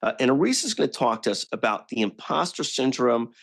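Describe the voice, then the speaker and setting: Lively, upbeat man hosting a podcast. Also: medium pitch, brisk, gravelly. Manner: enthusiastic, restarts with repeated words. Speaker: podcast host. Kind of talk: podcast interview.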